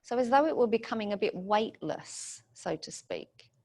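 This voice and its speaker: Woman gently guiding a meditation. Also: medium pitch, expressive. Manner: soothing, gentle instruction in short unhurried phrases. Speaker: meditation guide. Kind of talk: guided meditation.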